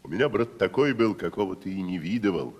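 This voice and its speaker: A proud old-school tragedian in a 1970s radio-style stage play. His voice is low and husky, with swooping, pompous delivery. He sounds lordly and patronizing, and the sound rings with long reverb. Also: lively intonation, fast talker, a little mellow, highs cut off. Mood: lordly scolding. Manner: theatrical, pompous, haughty. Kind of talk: 1970s audio stage drama acting. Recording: reverberant hall-like studio recording.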